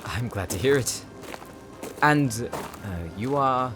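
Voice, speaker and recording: A man's voice: medium pitch, slow pace, close to an everyday voice. A man playing a polite, articulate demon hunter who likes to show off in a fantasy audio drama. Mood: polite, eager. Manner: courteous, articulate, explanatory. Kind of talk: fantasy audio roleplay acting. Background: music.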